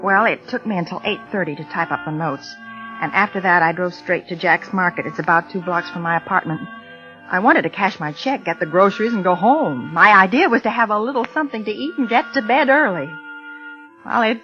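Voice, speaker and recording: A woman's voice: mid-range voice, brisk, lightly acted. An actress playing a flustered, chatty secretary who tells her story in old radio suspense style. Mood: rueful storytelling. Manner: conversational, expressive storytelling. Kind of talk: 1940s-50s American radio suspense acting. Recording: muffled vintage radio recording, music behind.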